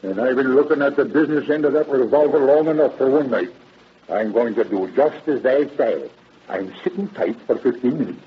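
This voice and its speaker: A man's voice: medium pitch, a little fast, muffled recording, noisy background, close to an everyday voice. An actor playing an older, talkative and obliging night watchman in a folksy, hesitant drawl, in old-time radio drama style. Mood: wary, stubborn.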